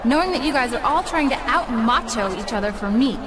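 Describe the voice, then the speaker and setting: A woman's voice: fairly high pitch, lively intonation, fairly quick, lightly acted. A woman playing an assertive young female wrestling manager in a video game cutscene, earnest and imploring. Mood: exasperated scolding. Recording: loud arena crowd and noise behind.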